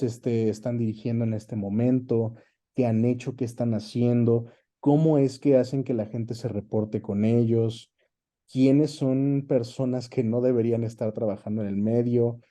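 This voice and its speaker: A man's voice: mid-range voice, dark tone. A Mexican man being interviewed, explaining things in a casual, conversational way and often checking in with short tag questions. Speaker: interview guest, voice actor and dubbing director.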